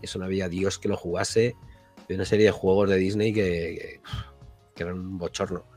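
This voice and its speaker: Spanish guy hosting a gaming chat, lowish voice, rambly and jokey, bit of music behind. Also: flat delivery, a little fast, a little mellow. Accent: Spain. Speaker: podcast host. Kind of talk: casual chat about retro games.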